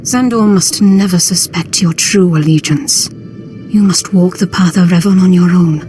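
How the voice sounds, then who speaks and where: An actress playing an earnest, conspiratorial Sith cult leader in a video game, speaking in a measured, solemn way. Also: female voice, fairly low pitch, mellow, soft background music, close to an everyday voice. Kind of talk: video game dialogue acting.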